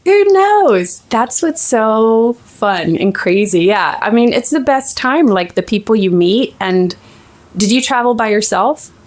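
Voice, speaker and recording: A woman's voice: fairly high pitch, big pitch swings, unhurried. A woman in her forties from Sweden with a Swedish accent, chatting animatedly as an interview guest. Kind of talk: casual interview chat.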